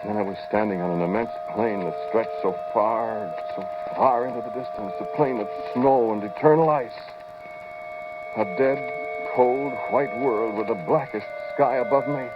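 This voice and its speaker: Actor as a low-voiced haunted radio inventor, muffled recording. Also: male voice, very noisy, close to an everyday voice. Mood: brooding, intense. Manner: dramatic, halting, intense storytelling. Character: male inventor, haunted narrator recounting a terrifying experiment. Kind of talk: old-time radio horror drama narration. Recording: muffled old radio recording, music behind.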